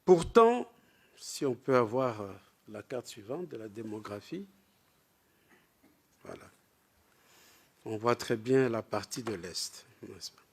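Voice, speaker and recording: A man's voice: medium pitch, expressive, slow pace, gravelly, pauses often, breathy edge. A man delivering a formal academic lecture from a prepared text, leaning emphatically on the openings of his sentences. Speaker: lecturer presenting with maps.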